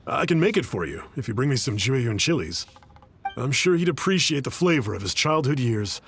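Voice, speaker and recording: A man's voice: medium pitch, very animated, normal speed, vocal fry, fairly bright tone, soft background music. An actor playing an earnest, polite young man who cooks, a little wistful about an old friend. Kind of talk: video game voice acting.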